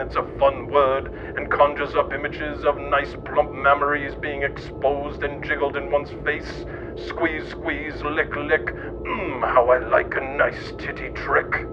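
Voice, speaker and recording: A man reading scripted satirical narration in a deadpan, mock-serious manner. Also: mid-range voice, slow. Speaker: narrator of a satirical history podcast. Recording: muffled lo-fi audio under music. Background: background music.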